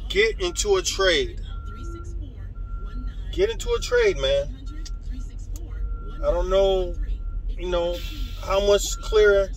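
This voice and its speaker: High-voiced New York man, streetwise and emphatic. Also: big pitch swings, takes it slowly, creaky, pauses often, soft background music, low-quality recording. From New York, United States. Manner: streetwise, slangy, emphatic, repeats phrases and seeks agreement. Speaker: HVAC tradesman and channel host. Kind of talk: casual motivational talk to camera.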